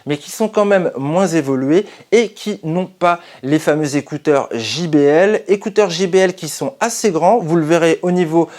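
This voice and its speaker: A male reviewer speaks in a fairly high register. He hosts a channel and walks through products in a casual, explanatory style. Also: moderate pace, few pauses, darkish tone.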